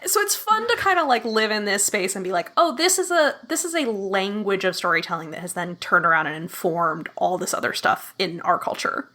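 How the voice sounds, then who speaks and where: Bright, chatty woman. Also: medium pitch, animated, brisk, few pauses. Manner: enthusiastic, lots of "like" fillers and laughs. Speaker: guest. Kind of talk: casual podcast chat.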